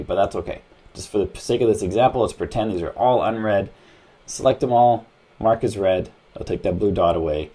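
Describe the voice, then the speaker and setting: A man with a lowish, mellow voice and a husky grain walks the listener through phone steps. He is plain-spoken and conversational, instructing casually as a tutorial host.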